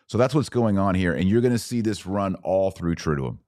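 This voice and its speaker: Male podcast host, deep voice, close dry sound, conversational explainer who leans on key phrases. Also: expressive, brisk, dark tone, no echo. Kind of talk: informal explanatory talk on church liturgy.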